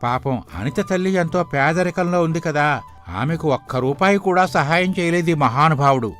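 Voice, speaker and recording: An actor playing a gossipy, disapproving village elder with a critical, sardonic manner in a moral story. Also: male voice, a little high, moderate pace, a bit bright, soft background music, lightly acted. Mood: gossipy, pitying. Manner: critical, sardonic, sympathetic to the poor. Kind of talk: dramatised moral story dialogue.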